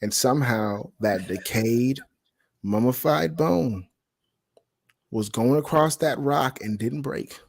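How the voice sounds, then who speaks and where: A man's voice: medium pitch, slow pace, vocal fry, no room sound. A man in casual banter as a guest reviewer, blunt, joking and swearing freely.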